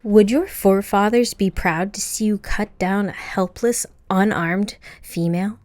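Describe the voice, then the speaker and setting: A cunning young female alien diplomat, put on by a male narrator pleads in a refined, honeyed tone. The voice sits far above his own and comes across soft and ingratiating. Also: sits high, fairly even intonation, measured pace, dry recording, voice pushed up. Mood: pleading.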